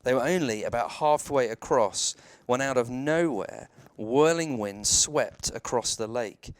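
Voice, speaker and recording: A man, a reverend, telling a children's bedtime story in a measured, dramatic way with deliberate pauses. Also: medium pitch, dry recording.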